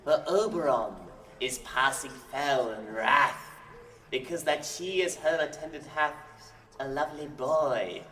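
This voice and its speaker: A man's voice: on the high side, a little slow, pushed and bright, echoey room, long reverb tail, distant mic. A man playing a challenging, gossipy woodland sprite, declaiming verse in a Shakespearean audio drama. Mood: ominous.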